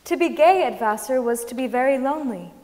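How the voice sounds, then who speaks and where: Female reader for staged literary reading, fairly high pitch, long hall reverb. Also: lively intonation, fairly quick, darkish tone. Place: large concert hall stage.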